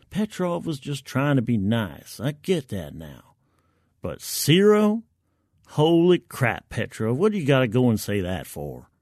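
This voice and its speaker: A man's voice: on the low side, a little mellow, no echo, studio quality. A man narrating first-person science fiction in the colloquial, gritty, wry voice of a soldier.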